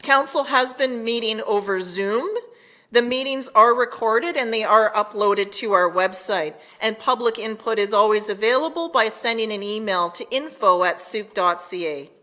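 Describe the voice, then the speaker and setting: A woman delivering a prepared public address as town mayor, measured and formal. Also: a little high, pushed and bright.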